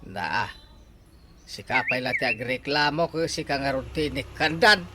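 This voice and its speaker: A man's voice: medium pitch, a little slow, heavy background noise, close to an everyday voice. An actor playing a hearty, teasing older father in an Ilocano radio drama. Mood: easygoing. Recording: loud music behind the voice.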